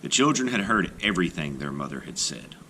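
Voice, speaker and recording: A male teacher reads a fairy tale aloud for children. His voice is low but bright, with lively, animated intonation, and a faint hiss of noise sits behind it. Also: a little fast. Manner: expressive, animated storytelling. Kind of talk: storybook read-aloud.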